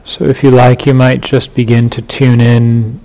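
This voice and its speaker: A man leading a guided meditation as a teacher, speaking in short phrases with long pauses between them. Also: mid-range voice, flat delivery, warm tone, rough recording.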